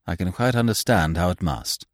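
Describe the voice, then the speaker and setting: A man voicing a suave, courteous gentleman in a storybook, politely measured and drily grandiose. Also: deep voice, no room sound, studio quality, lightly acted. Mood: mock mournful. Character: suave, unruffled, courteous young gentleman with flowery speech.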